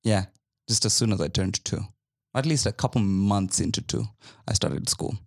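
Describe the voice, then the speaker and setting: A man's voice: fairly low pitch, expressive, normal speed, gravelly. A young man with a Kenyan accent chatting casually as a podcast guest, with a dry, joking manner. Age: young adult. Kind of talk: casual chat.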